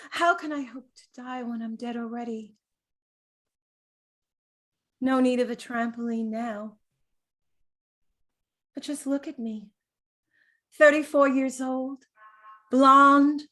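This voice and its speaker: A tender, flirtatious ghost of a muse in her thirties. Her voice sits fairly high and she takes her time, confiding wistfully in a theatrical monologue. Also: sharp tone, exaggerated voice. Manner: confiding, chattering, tender, nostalgic. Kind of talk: dramatic monologue, stage-style acting.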